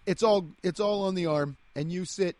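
A man's voice: high-pitched, moderate pace, a little mellow. A guest comedian, a man, improvising in a rambling, stammering, profane way over a video call.

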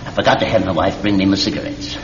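A low-voiced man acting as a prisoner in an old radio play. He is subdued, hesitant and puzzled. He sounds away from the microphone on a dull recording. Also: steady tone, quick pace, natural voice. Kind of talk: classic radio drama acting. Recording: distant muffled radio recording with faint hiss.

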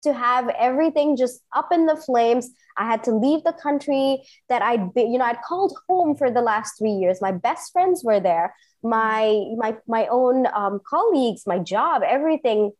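A woman in her thirties who grew up in Singapore speaks as an interview guest, recounting her own path with earnest enthusiasm. Her voice sits a little high, and she leans on emphatic repetition as she tells her story. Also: animated, moderate pace, a little mellow. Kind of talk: personal storytelling in conversation.

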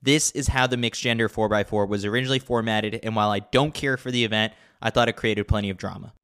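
Clear-voiced man reading wry sports news. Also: on the low side, fairly even intonation, fast, fairly bright tone. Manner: wry, tongue-in-cheek. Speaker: podcast reader of a sports newsletter. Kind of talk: scripted narration with wry commentary.

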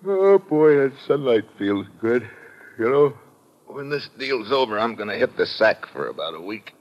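An actor with an American accent playing a tough, world-weary, wisecracking adventurer in a 1950s radio drama, terse and sardonic. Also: male voice, mid-range voice, sing-song, normal speed, lightly acted. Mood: weary, wry. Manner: hard-boiled, terse, sardonic. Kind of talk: 1950s radio drama acting, first-person noir narration. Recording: dull vintage radio recording with music.

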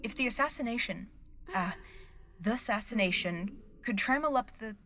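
A woman's voice: on the high side, animated, moderate pace, sharp tone. A woman playing a nervous, clumsy theater understudy, speaking in a hesitant, informal, flustered way in an audio drama. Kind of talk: audio fiction acting.

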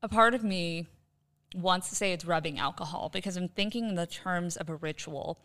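Smooth-voiced woman, slightly low. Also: moderate pace, a bit bright. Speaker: podcast co-host, quiz contestant. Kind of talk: casual podcast discussion.

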